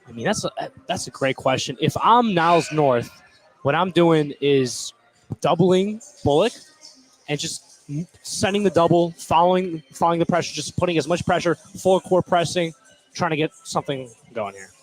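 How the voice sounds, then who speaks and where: An American man offers basketball analysis as a color commentator, in a voice a little higher than average. His delivery is informal and conversational, like chatting alongside a play-by-play partner. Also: moderate pace, soft background music, rough recording. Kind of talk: casual live basketball analysis.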